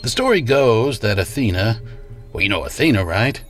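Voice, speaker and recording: A man's voice: on the low side, very animated, fairly bright tone, faint music behind. A man playing a domineering, menacing scientist tycoon in a radio drama, speaking in an imperious, sardonic way. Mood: ominous storytelling. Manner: imperious, deliberate storyteller, sardonic.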